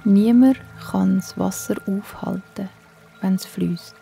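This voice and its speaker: A woman with a Swiss German accent, telling a bedtime story in a soft, hushed, soothing way. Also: medium pitch, steady tone, takes it slowly, vocal fry, soft background music.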